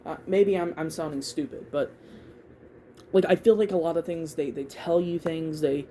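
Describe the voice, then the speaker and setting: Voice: mid-range voice, steady tone, normal speed, warm tone, slight background noise. A vlogger of unclear gender rambling casually through opinions, thinking aloud and repeating words in a hesitant way. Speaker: YouTube video creator giving opinions.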